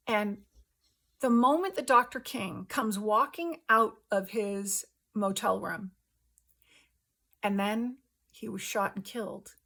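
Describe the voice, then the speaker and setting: A woman host giving an earnest spiritual testimony in casual language, speaking emphatically with halting pauses between short phrases. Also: medium pitch, vocal fry, a bit bright.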